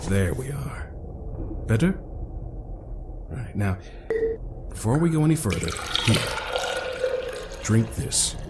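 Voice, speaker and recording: A man's voice: on the low side, sing-song, slow, pauses often, very noisy. A man playing a witty, fussy, eccentric scientist-adventurer in an audio drama, chatty and theatrical with mock-formal asides. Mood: reassuring, coaxing.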